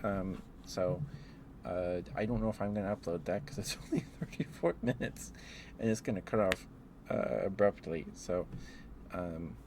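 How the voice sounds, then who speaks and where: A man hosting a casual ASMR livestream, chatting to viewers in a gentle, apologetic, rambling way. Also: low-pitched, moderate pace. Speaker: livestream host. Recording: clearly noisy background.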